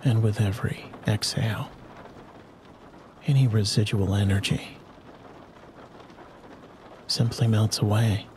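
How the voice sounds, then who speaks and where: A man with a lowish voice guides listeners toward sleep in a hypnosis narration. He speaks very slowly and soothingly, leaving long pauses between short phrases. A soft bed of rain and thunderstorm sound sits behind his voice. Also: steady tone, a little hiss or hum. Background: rain and distant thunder ambience.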